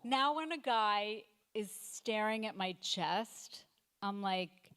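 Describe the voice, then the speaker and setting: A woman in her fifties doing a stand-up comedy set, delivering deadpan one-liners with halting fillers and self-corrections. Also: medium pitch, clear, resonant tone. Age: 50s. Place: comedy night stage before a live audience.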